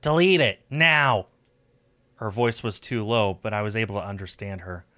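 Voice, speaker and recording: A man's voice: fairly low pitch, fairly even intonation, fairly quick. A man streaming a game and narrating its visual novel text aloud.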